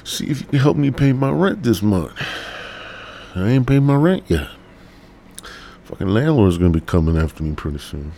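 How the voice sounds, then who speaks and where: A man's voice: fairly low pitch, very animated, moderate pace, long pauses, dark tone, a little hiss or hum. A congested man, hosting a rambling, profane podcast monologue. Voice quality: raspy. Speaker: podcast host.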